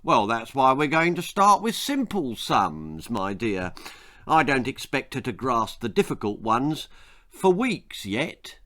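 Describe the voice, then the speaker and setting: Vain, blustering middle-aged father for a children's tale, played by a male reader: self-important, highly animated delivery. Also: takes it slowly. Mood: pompous. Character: pompous, vain, foolish forty-year-old father.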